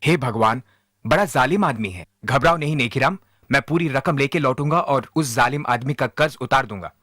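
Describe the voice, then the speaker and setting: A man's voice: medium pitch, expressive, moderate pace, a bit bright, no echo, studio quality. A man playing a loyal merchant friend in need in a moral-story cartoon, earnest and polite. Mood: reassuring, grateful. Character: male merchant friend, needy, grateful and loyal. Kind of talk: animated moral-story dubbing.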